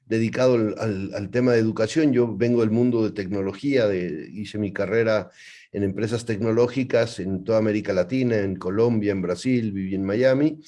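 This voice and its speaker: A man's voice: fairly low pitch, normal speed, mellow. A Mexican man with a Mexican accent, introducing himself as a panelist on a video call webinar, often repeating words as he builds his sentences.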